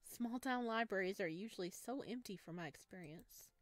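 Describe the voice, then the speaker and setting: A woman voicing a gentle, sheepish male forest creature in a visual novel, speaking softly and with naive curiosity. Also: medium pitch, moderate pace, dry recording, natural voice. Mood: casual, teasing. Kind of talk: visual novel read-aloud.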